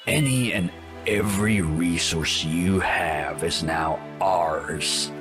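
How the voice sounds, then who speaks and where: A deep, menacing raider captain. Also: male voice. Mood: menacing, greedy. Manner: slow, deliberate, contemptuous delivery. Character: menacing, haughty male sea-raider captain of ancient times. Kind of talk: audio drama acting.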